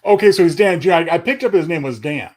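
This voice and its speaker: Higher-pitched man chatting casually. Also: lively intonation, fast, a little mellow. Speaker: show co-host. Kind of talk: casual chat.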